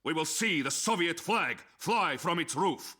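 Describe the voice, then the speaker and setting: An actor playing a resolute Soviet army commander, giving a formal, forceful battle briefing in a video game. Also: male voice, fairly high pitch, animated, unhurried, a little hiss or hum. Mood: rousing. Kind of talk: scripted military briefing narration.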